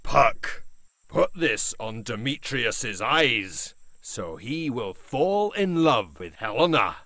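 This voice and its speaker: A man voicing a scheming, commanding fairy king in a children's cartoon story, speaking slowly. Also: mid-range voice, big pitch swings, sharp tone, dry recording, clean studio sound, exaggerated voice. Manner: slow, commanding.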